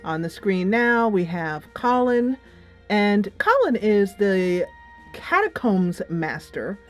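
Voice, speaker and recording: Music plays behind a woman with a mid-range voice. She talks as the host of a casual game review. Her delivery is chatty and emphatic, moving loosely from thought to thought. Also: medium pitch.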